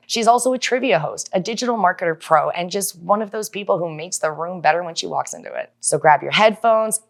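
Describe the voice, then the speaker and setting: A woman's voice: lowish voice, vocal fry. A woman hosting a talk show, gushing and slangy as she introduces and chats with guests. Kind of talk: hyped scripted introduction and chat.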